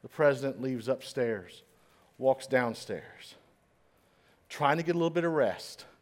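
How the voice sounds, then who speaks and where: A man preaching a sermon in a church, dramatic and swinging between loud and hushed, with a slight stutter at times. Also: medium pitch, lively intonation, unhurried. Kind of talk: sermon storytelling. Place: church stage.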